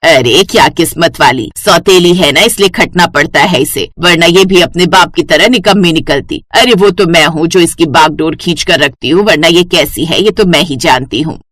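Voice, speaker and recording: A woman's voice: on the low side, moderate pace, few pauses, fairly bright tone, no room sound, studio quality. A woman voicing a cruel, greedy, domineering stepmother in a cartoon story, harsh and scolding. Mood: scornful.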